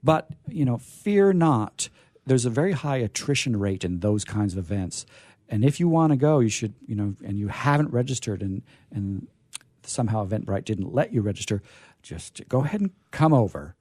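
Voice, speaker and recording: A man's voice: medium pitch, big pitch swings, normal speed, darkish tone, dry recording. A middle-aged or older man hosting a radio show, chatting casually and enthusiastically in a rambling, self-deprecating way. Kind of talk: casual unscripted event chat.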